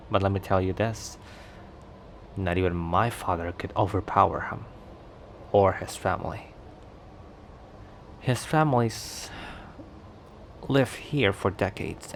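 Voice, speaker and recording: Low, slow, cocky werewolf played by a man. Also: a little hiss or hum. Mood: teasing, sarcastic. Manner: teasing, chuckling between phrases. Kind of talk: ASMR romantic roleplay.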